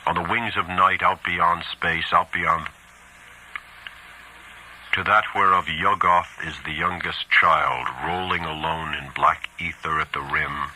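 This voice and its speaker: Man playing a very deep, solemn cultist. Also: fairly even intonation, takes it slowly, sharp tone, slight background noise, heavily acted, low-quality recording. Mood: reverent, exalted. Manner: solemn, declamatory chanting. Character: educated male cultist reciting reverent dark ritual praise.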